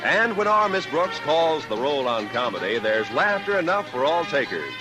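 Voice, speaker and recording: A man's voice: a little high, very animated, normal speed. An American man announcing in polished, emphatic old-time network radio promotional style. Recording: vintage radio broadcast over music.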